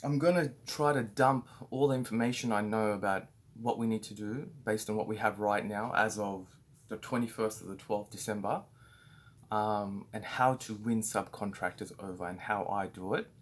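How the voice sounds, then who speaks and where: Aussie bloke, lowish voice, rambles through a staff training chat with lots of ums. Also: male voice, steady tone, normal speed. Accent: Australian. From Australia. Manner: rambling, many fillers and restarts. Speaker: business owner training staff. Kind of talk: casual spoken training talk.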